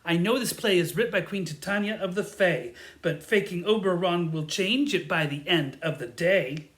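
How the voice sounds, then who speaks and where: A woman's voice: fairly low pitch, moderate pace, lightly acted. A woman playing a mischievous, brash trickster fairy who speaks in sing-song rhyme in a comic audio drama. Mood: brash mischief. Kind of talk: theatrical comedy audio drama.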